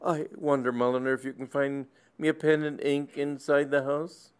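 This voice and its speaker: A man playing a pompous, tearful old male country squire who speaks loudly and grandly. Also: little pitch movement, moderate pace, smooth, natural voice. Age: older. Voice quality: high, loud and pompous.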